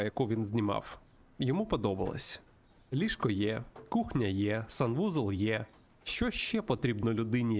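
A man reading a visual novel aloud as a let's play host, in an expressive storytelling manner. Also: medium pitch, creaky. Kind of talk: narrated visual novel playthrough reading with asides.

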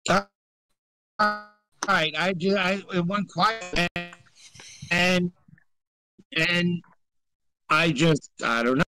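A man's voice: sits high, takes it slowly, pauses often, sharp tone, faint music behind, low-quality recording. An American man from New York chatting casually in a live audio chat, blunt and given to swearing. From New York, United States.

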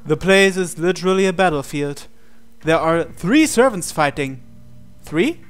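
Male game narrator, slightly high voice, dramatic storytelling, deliberate pauses, soft music behind. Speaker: gaming channel host. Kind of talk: reading a visual novel aloud as narration.

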